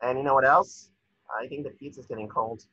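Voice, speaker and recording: A man playing an eager, naive cartoon sea sponge fry cook in a table reading, earnest and excitable. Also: medium pitch, fairly quick, lo-fi sound, lightly acted. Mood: cheerful, earnest.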